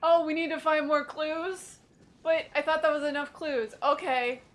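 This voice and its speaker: A woman's voice: sits high, level tone, normal speed, a bit bright, a little hiss or hum. A woman hosting a casual gaming playthrough, jokily paraphrasing what the characters say. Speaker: gaming video host and player.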